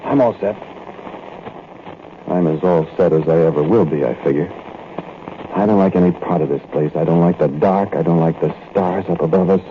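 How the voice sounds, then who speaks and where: A very deep-voiced, uneasy thief tells of a night break-in in the confiding tone of old radio drama. The sound is thin and boxed-in, with steady hiss underneath. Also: male voice, normal speed, long pauses. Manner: tense, confiding first-person storytelling. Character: nervous male thief narrating a night break-in. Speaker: narrator and lead character. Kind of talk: 1940s radio drama narration. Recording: tinny, noisy old radio recording.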